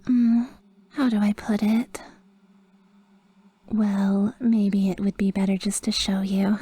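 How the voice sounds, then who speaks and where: A woman playing a sweet, possessively devoted guardian angel in a roleplay ASMR monologue, with soft, breathy, often whispered speech and formal, flowery wording. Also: medium pitch, little pitch movement, dry recording. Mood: shy, tentative. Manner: formal, flowery, slow and adoring.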